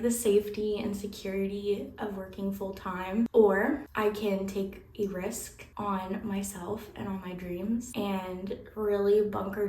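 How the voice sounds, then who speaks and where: A woman's voice: mid-range voice, a little mellow, recorded from a distance. A young woman chatting candidly into her camera, a little nasal and scratchy as she gets over a flu. She talks loosely, full of "like" and small laughs. Her voice carries a roomy echo with faint ambient noise behind it. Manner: chatty, candid, laughs often, says "like" a lot. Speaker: vlogger and aspiring fantasy author. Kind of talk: casual vlog chat.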